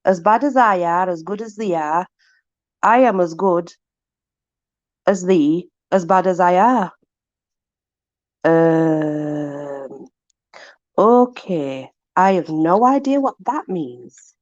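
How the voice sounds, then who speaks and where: A woman's voice: on the low side, lively intonation, slow, long pauses, darkish tone, no echo, highs cut off. A woman with a Yorkshire accent, reading aloud in a casual, chatty way with informal, exclamatory asides to a companion. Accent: Yorkshire English. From Yorkshire, England. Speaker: host reading aloud from a book.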